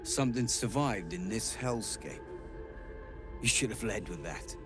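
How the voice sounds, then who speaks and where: An actor playing a practical, matter-of-fact adventuring companion in a video game. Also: male voice, on the low side, expressive, measured pace. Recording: game audio with clear music.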